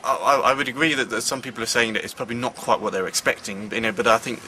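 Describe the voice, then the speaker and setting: A man's voice: mid-range voice, steady tone, very fast, bright, forceful tone, slight background noise. A man in an interview who stammers and repeats words a lot. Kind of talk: casual interview.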